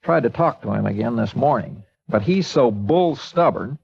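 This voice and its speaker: An actor playing an earnest small-town newspaper editor, pleading and indignant, in 1940s radio acting. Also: male voice, mid-range voice, lively intonation, normal speed, gravelly, husky, exaggerated voice. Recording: muffled old radio recording.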